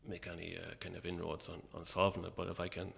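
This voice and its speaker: A very low-voiced Irish man with a creaky, gravelly edge gives a relaxed interview. He speaks hesitantly, with dry, self-deprecating humour. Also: quick pace. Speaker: playwright interviewed as guest. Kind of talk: casual interview chat.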